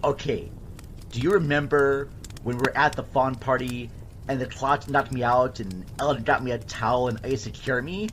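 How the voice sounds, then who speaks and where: A man's voice: mid-range voice, fairly even intonation, natural voice. Man playing a gushing teenage girl, noisy recording. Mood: confiding. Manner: gushing, halting teen slang. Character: excited, lovestruck teenage girl, gushing and chatty. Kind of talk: amateur film scene re-enactment.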